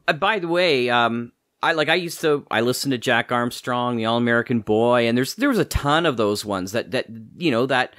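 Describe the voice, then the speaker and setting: A man's voice: medium pitch, a bit bright, no echo. Dry-sounding man hosting a casual podcast. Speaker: podcast host, interviewer.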